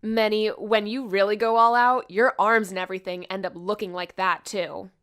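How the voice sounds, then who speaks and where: A woman's voice: mid-range voice, steady tone, moderate pace, a bit bright, smooth, natural voice. A woman voicing a brash, hot-tempered young female fighter in an anime fan dub, scornful and bossy. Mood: scolding.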